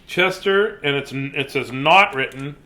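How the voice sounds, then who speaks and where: A man's voice: fairly high pitch, measured pace, bright, forceful tone. A man hosting an informal children's read-aloud, playful and rambling, often breaking off to comment on the book. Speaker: children's story reader and host.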